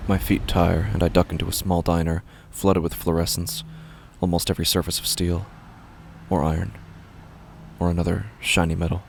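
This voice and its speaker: An actor playing a weary, confused man who clings to rigid rules, narrating a horror monologue in a halting, repetitive, gravelly voice. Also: low voice, level tone, slight background noise. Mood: resigned. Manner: hesitant, halting, brooding, repeats himself. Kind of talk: first-person horror audio drama monologue.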